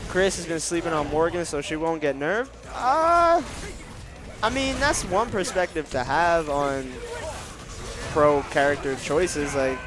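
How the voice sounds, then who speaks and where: Nasal young-sounding male commentator. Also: expressive. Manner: deliberately speaking lower than usual; casual, reads out and answers viewer questions. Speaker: stream commentator. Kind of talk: casual stream commentary reading chat.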